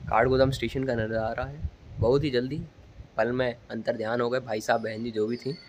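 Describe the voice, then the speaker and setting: A man's voice: mid-range voice, flat delivery, moderate pace. A young man gives a casual, comic movie review in mock-formal storytelling style, recorded on a phone. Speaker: vlogger and amateur film reviewer. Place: outdoors at a railway station at night. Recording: noisy outdoor ambience on phone.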